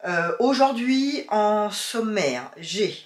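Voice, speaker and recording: A woman's voice: medium pitch, sing-song, drawn-out words, reverberant. A woman with a cold chatting casually to her viewers about sewing and knitting, hesitant and full of fillers. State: has a cold, sniffling. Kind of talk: casual chat to camera.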